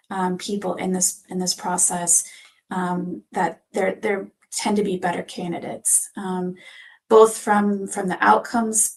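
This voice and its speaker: A woman giving explanatory interview answers as a clinician guest, in a measured, careful way. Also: mid-range voice, poor audio. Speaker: physician assistant guest.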